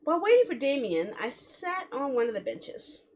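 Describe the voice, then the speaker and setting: A woman streaming a game in a casual, expressive, chatty way, reading story text aloud and reacting to it. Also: high voice, normal speed. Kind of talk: casual live let's play, reading visual novel text aloud. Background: background music.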